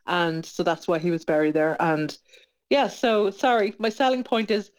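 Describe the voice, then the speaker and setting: A woman's voice: fairly low pitch, fairly even intonation, moderate pace. A woman talking informally as a radio interview guest, chatty and often correcting herself as she goes. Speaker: interview guest, playwright and producer.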